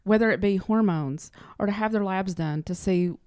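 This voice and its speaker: A woman's voice: mid-range voice, normal speed, a little mellow, clean tone, no echo, studio quality. A woman speaking as an interview guest, chatting casually and telling small anecdotes. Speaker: interview guest, clinic front office worker.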